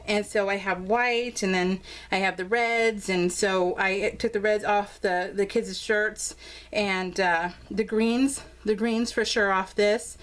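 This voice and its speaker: A woman's voice: medium pitch, steady tone, takes it slowly. An American woman chatting casually and unscripted as a crafting vlogger, with a bubbly, gushing, rambling manner. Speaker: crafting vlogger showing scrapbook pages.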